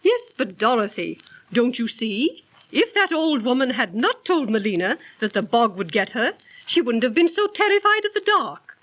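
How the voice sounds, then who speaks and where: A patient storytelling aunt. Her fairly high voice rises and falls widely as she explains emphatically in the manner of 1930s radio drama. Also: big pitch swings, moderate pace, a bit bright, low-quality recording. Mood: explanatory, earnest.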